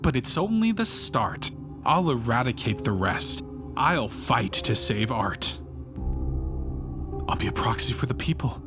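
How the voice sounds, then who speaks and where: Man acting a theatrical, fanatical failed painter over music. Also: mid-range voice. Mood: exhilarated righteous. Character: bitter failed male painter turned righteous, fanatical gallery vandal. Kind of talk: theatrical rhyming dramatic monologue, audiobook poetry performance. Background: music behind the voice.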